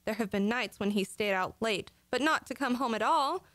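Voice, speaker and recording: An actress as a daffy, trusting housewife in an old radio comedy, with a fairly high voice in a dry, close sound. She insists on her muddled logic in naive earnest. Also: moderate pace, fairly bright tone, no echo, close to an everyday voice. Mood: forlorn. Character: scatterbrained, earnest, gullible wife with daffy logic.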